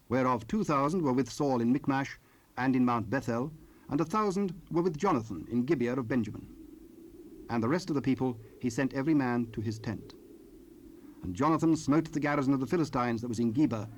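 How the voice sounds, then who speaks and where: A man narrating dramatized scripture in a solemn, formal, measured manner. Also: mid-range voice. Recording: faintly noisy cassette rip.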